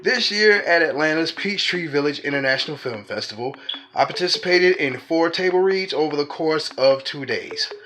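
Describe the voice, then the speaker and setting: A man with a voice a little higher than average puts a prepared question formally and deliberately. It is a self-recorded clip with faint noise behind him. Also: expressive, bright, forceful tone. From Georgia, USA. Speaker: actor sending in a question.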